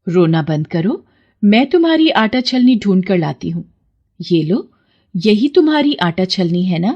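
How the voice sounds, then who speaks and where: A woman's voice: medium pitch, sing-song, unhurried, highs cut off. A solemn goddess of a magic pond, played by a woman narrator, speaks with benevolent authority. She is kind to the honest and stern with the greedy, in a storybook manner. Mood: soothing.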